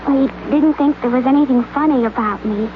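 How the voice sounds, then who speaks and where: An actress plays a timid young woman in a 1950s radio play, earnest and hesitant. Her high voice is soft. It is heard through a narrow, tinny old radio recording with a faint hiss. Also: fairly quick, natural voice. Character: shy, hopeful young female assistant. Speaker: office assistant. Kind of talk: 1950s radio drama acting.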